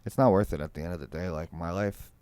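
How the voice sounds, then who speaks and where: A man's voice: sits low, fast, mellow. A young man with a Boston accent, talking casually as a guest musician, swearing freely and filling with "like" and "you know". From Massachusetts. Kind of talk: casual podcast conversation.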